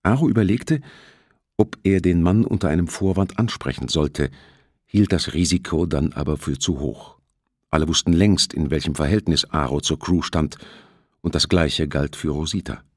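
Male narrator, deep voice, dry close sound, scripted thriller audiobook reading. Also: moderate pace, no room sound.

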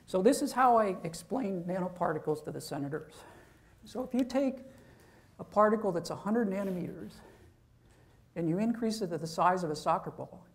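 A man's voice: high voice, expressive, normal speed, vocal fry. A man, a professor, giving an informal university lecture, talking conversationally and checking in with "okay?".

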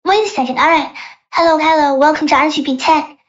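High girlish voice from a man, AI voice changer, software demo, dull lo-fi sound. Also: a little fast. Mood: explanatory. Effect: real-time AI voice changer. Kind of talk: software demonstration.

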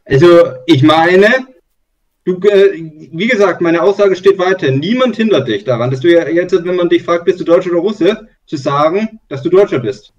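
A man pushes a point in a loose online discussion, full of filler words. His voice sits a little high and sounds distant and muffled. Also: normal speed. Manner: probing, lots of filler words. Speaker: discussion participant. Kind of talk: casual group chat. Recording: distant, muffled call audio.

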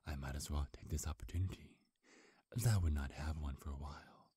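Man playing a very deep, husky seductive vampire. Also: dry recording, heavily acted. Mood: amused, hungry. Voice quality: husky, breathy. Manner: slow, coaxing, softly menacing. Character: hungry, seductive male vampire, menacing yet soothing. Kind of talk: ASMR roleplay, intimate close-up whispering and murmuring. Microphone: close microphone.

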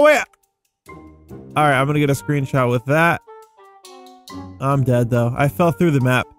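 A young man giving casual, slangy, excitable gameplay commentary to viewers. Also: fairly high pitch. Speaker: gaming video host.

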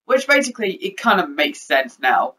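A young man with a high voice chats to his webcam from his bedroom. He rambles, restarts and stutters now and then. His tone is bright and forceful. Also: very animated, normal speed. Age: young adult. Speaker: YouTuber. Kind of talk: casual vlog update. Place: bedroom with posters, indoors.

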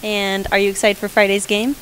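A woman's voice: mid-range voice, little pitch movement, brisk, fairly bright tone, a little hiss or hum, poor audio. A teenage girl asking classmates short questions in a school hallway, holding a handheld mic. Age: teens. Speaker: student interviewer. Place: school hallways and gym indoors.

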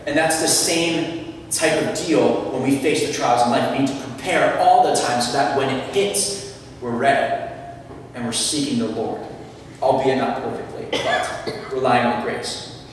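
A man's voice: medium pitch, measured pace, far from the mic, slight background noise. Young man preaching in an echoey church. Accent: American. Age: young adult. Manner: earnest, animated, gestures broadly while preaching. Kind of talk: sermon. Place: church sanctuary. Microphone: lectern mic, camera at back of room.

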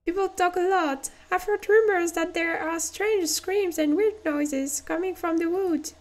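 Woman doing a squeaky store clerk with a French accent. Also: a little slow. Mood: warning. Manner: high, squeaky put-on voice. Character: bearded male store clerk, chatty and warning.

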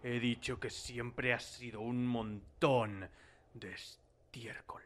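A man acts out an unhinged, controlling warrior husband from a cartoon parody. The voice is sharp and menacing, slow, and apt to sink into breathy whispers. A faint noise sits behind it. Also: mid-range voice, steady tone. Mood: mocking contempt. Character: menacing, unhinged martial-arts hero husband, creepily controlling. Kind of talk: cartoon parody fandub acting.

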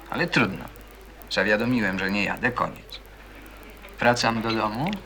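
A man's voice: medium pitch, background noise. A man playing a bitter, defensive teenage schoolboy in a radio play, defiant, sarcastic and colloquial. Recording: busy chatter of people behind. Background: crowd chatter, as in a café.

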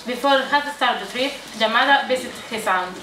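A woman's voice: a little high, moderate pace, pushed and bright. A young woman reading scripted narration for an amateur puppet show. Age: young adult. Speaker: student narrator. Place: indoor room at a day centre. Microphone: far camera. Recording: noisy echoey room, distant camera mic.

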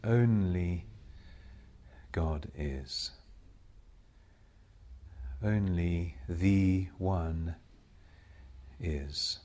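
A man leading a guided meditation as a spiritual teacher, speaking in measured, solemn short phrases with long pauses between them. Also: sits low, warm tone. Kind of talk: slow guided meditation talk.

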